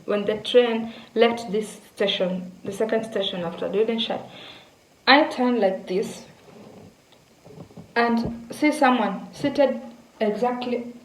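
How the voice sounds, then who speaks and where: A young woman from Kenya tells a story in a chatty, casual way, often repeating herself and turning to her viewers. Her voice sits at a middle pitch. The room around her is echoey, with a faint hum of background noise. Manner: chatty, repeats words, addresses viewers directly. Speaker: vlogger.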